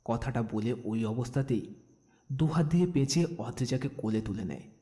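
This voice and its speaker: A man's voice: medium pitch, normal speed, dark tone, slightly rough. A man narrating and presenting a scripted romantic audio story. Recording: long hall reverb, faint music behind. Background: faint music.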